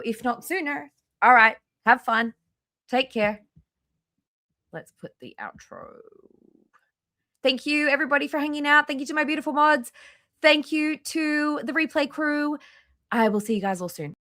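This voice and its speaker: A woman's voice: high-pitched, expressive, normal speed, pauses often, bright, forceful tone, no room sound, studio quality. A woman hosting a casual reaction livestream, reading aloud in an informal way with offhand asides. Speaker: livestream host.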